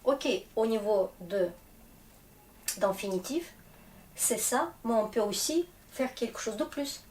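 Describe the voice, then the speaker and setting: A woman with a Russian accent and a cold, teaching a language lesson, slow and patient as she spells out word endings. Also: a little high, expressive, darkish tone, slight background noise. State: has a cold.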